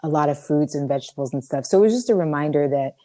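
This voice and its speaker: A young woman with a raspy voice co-hosting a podcast, talking casually and emphatically, with many fillers. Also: expressive, racing, dark tone. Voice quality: raspy, low voice. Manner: emphatic, preachy about self-growth, with fillers. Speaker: podcast co-host. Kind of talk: casual chat.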